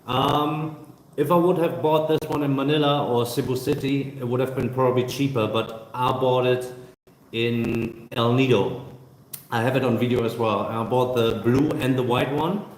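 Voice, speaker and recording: A man in his thirties, a travel vlogger chatting casually on a live stream Q&A, relaxed and hesitant, with many fillers and restarts, filming on his phone in a busy hostel co-working space. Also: mid-range voice, moderate pace, echoey room, a little hiss or hum, rough recording. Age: 30s. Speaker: travel vlogger answering viewer questions. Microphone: phone camera.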